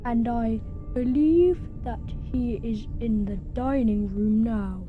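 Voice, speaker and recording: A woman's voice: on the high side, slow pace, phone-quality sound, faint music behind. A woman playing a giant, servile butler of a vampire household in an amateur radio play, speaking formally and deferentially.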